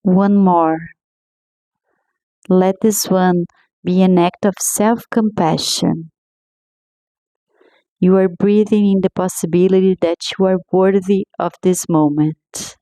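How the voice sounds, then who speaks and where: A woman guiding a meditation in a slow, soothing, gently paced scripted voice. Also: on the low side, steady tone, no echo. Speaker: meditation guide.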